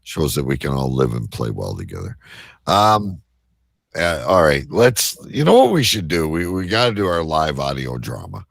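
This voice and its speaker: A man hosting a casual live show, talking in a rambling, halting way. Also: extremely low pitch, sing-song, gravelly, fairly bright tone, dry recording, clean studio sound. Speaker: show host.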